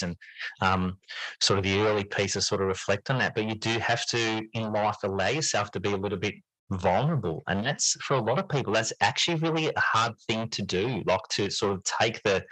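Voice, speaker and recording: A man's voice: on the low side, fairly bright tone, no room sound, rough recording. A man answering as an interview guest in a reflective, conversational way, thoughtfully searching for his words and often repeating them. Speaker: interview guest, teacher and writer.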